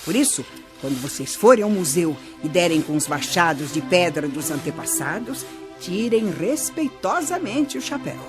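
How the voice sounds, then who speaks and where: A woman's voice: fairly low pitch, moderate pace. A woman playing a patient, wise old grandmother who explains things to children in a radio play. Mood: patient, instructive. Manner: didactic, expressive storytelling. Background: music.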